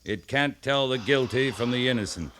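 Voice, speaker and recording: An actor playing a stern, shrewd US cavalry captain with a commanding, measured manner, in a 1950s radio western. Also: male voice, medium pitch, fairly bright tone, a little hiss or hum, natural voice. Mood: firm, threatening. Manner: commanding, measured, pointed questioning.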